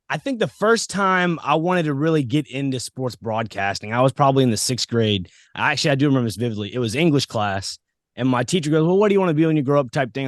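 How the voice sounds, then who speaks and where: A man's voice: medium pitch, no echo, clean studio sound. An American man hosting a podcast, chatting in a casual, rambling, informal way as he interviews. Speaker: podcast host. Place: podcast set.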